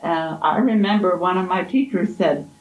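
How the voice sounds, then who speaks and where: Woman in her 80s for an oral-history interview, gentle and fond, recorded in a roomy library. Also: on the low side. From Kansas, USA. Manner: gentle, unhurried, fond storytelling with soft laughs. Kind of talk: reminiscing interview. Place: college library. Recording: echoey library room.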